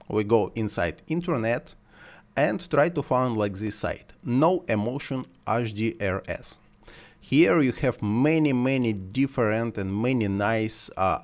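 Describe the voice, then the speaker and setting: A man whose first language is Russian or Ukrainian, talking through a screen-recorded software tutorial while he works, in simple, halting English. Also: mid-range voice. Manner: thinks aloud while working, simple non-native phrasing.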